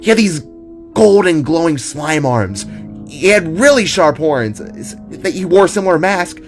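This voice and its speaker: A man's voice: a little high, animated, a little slow, faint music behind, voice pushed up. A man voicing an eager young woman in an audio drama, an aspiring reporter who babbles out conspiratorial theories. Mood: excited, babbling.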